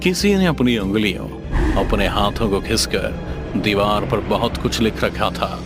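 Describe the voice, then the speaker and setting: Guy narrating scary story, deep-ish voice, music playing loud behind him. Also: measured pace. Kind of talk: scripted dramatic storytelling narration. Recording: music under the voice. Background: background music.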